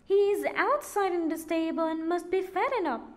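A woman voicing a wicked, spiteful old witch in a storybook reading, with a shrill, loud and bossy way of talking. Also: normal speed. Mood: scolding.

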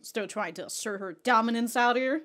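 A woman's voice: on the high side, normal speed, a bit bright. A young woman hosting an anime reaction, chatting casually and bluntly, laughing often and swearing now and then, close to a studio mic in a small room. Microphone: studio mic on stand close to the face. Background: faint anime music and audio.

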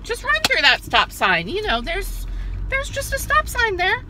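A woman in her forties talks into a phone on the dashboard, her pitch swinging widely as she chats and rants. The road hum of the car sits clearly under her voice. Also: wildly swooping pitch, measured pace, bright, forceful tone. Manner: chatty, ranting at other drivers. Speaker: vlogger. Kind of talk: casual vlog chat while driving. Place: inside a car, then an office. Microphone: phone camera on dashboard mount. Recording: car road noise behind.

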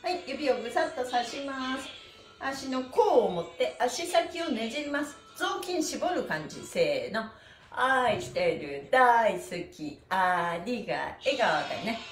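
A woman's voice: a little high, very animated, a little slow, roomy sound, soft background music. A middle-aged woman leading a livestreamed stretching lesson in a cheerful, exclamatory, encouraging way, picked up by a camera mic in a small room. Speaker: stretching instructor and pantomime performer. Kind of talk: livestreamed exercise lesson with chanted counting and chatty asides. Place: small bare room indoors. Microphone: camera mic a few steps away.

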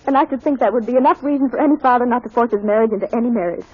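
Determined young daughter: brisk, polite, slightly high, vintage radio. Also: female voice, husky. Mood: firm. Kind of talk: 1940s radio drama acting. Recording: muffled old radio recording.

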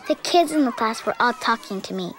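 Woman playing a little cartoon girl telling her story, kinda high n slow, candid and anxious, music behind. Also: animated, natural voice. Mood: earnest, wistful. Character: seven-year-old immigrant girl, earnest, anxious, candid. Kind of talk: scripted first-person narration for animated short.

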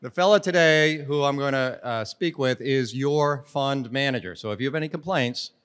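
A man's voice: medium pitch, normal speed, fairly bright tone, clear, resonant tone. A middle-aged man hosting an onstage interview, introducing the guest and joking with the audience. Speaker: interviewer, host. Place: large conference hall with audience. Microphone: handheld mic. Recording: reverberant conference hall.

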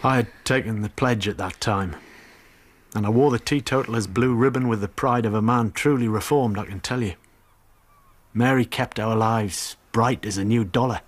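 An actor playing a remorseful, bitter working seaman in a radio drama, with a husky voice. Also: lowish voice, moderate pace, close to an everyday voice. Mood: remorseful confession.

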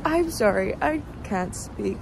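A young woman, tired and sleep deprived, chatting casually and haltingly in a rambling, self-deprecating way as she walks with her phone. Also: medium pitch, lively intonation, creaky. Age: young adult. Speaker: vlogger, student performer. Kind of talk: casual walking vlog, rambling chat. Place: outdoors on a city street at night, walking. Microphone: phone at arm's length. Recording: noisy outdoor street, traffic ambience.

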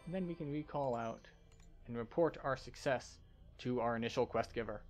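A man's voice: mid-range voice, moderate pace, a little mellow, faint music behind. A man hosting a video game playthrough, giving commentary as he plays. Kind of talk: gameplay commentary and reading quest text aloud.

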